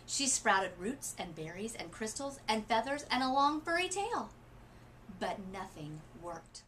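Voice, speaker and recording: A woman reading a children's story aloud expressively, with deliberate, dramatic phrasing. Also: on the high side, big pitch swings, a bit bright. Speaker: literacy coach reading a picture book aloud. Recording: noisy room ambience.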